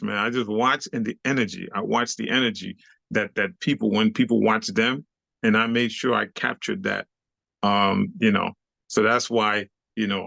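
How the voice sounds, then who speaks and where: A man talks in a casual interview. His is Haitian Creole, and he tells animated, slangy stories with frequent laughs. His voice has a gravelly fry, and the sound is dry and close. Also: lowish voice, no room sound, dull audio. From Haiti, raised in Brooklyn, New York. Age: 60s. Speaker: interview guest, club dancer.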